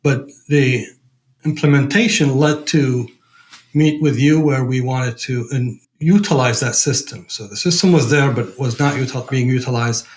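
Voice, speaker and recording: A male podcast guest with an Iraqi accent speaks in a medium-pitched voice. He talks conversationally, as if giving a personal account. His delivery is halting as he looks for the right words. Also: rough recording. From Iraq. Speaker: podcast guest, program director.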